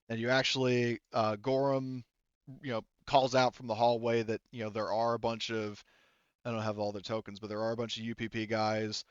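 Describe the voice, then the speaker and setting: Guy running a tabletop rpg, hesitant rambly narration, average pitch, kinda muffled dry audio. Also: clean tone, no room sound. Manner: halting, hesitant, rambling phrasing. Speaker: game master. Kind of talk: improvised tabletop game narration.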